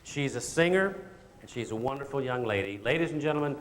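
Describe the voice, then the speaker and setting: A man hosting a live stage show, introducing songs in measured, announcer-like phrasing. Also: mid-range voice, lively intonation. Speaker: host of a school stage show. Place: large indoor hall, live show. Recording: echoey hall, noisy live stage recording.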